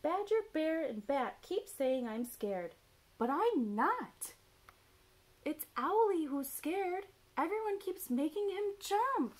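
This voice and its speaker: A woman's voice: sits high, big pitch swings, takes it slowly, pitched above the performer's own voice, exaggerated voice. A woman voicing a small, defiant baby owl in a children's storybook, emphatic and childlike as he insists he is fearless. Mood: protesting. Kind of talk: children's picture book read-aloud.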